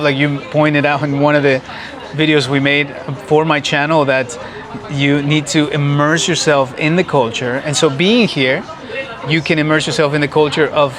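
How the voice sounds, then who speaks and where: A man whose first language is Spanish, a guest in a casual interview, speaking in an earnest, reflective and measured way outdoors in a city. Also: on the high side.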